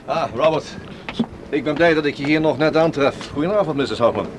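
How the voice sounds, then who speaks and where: An actor playing a polite, businesslike police inspector in a radio play, explaining things in a deliberate, friendly way. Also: male voice, a little high, slight background noise, natural voice. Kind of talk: radio drama acting.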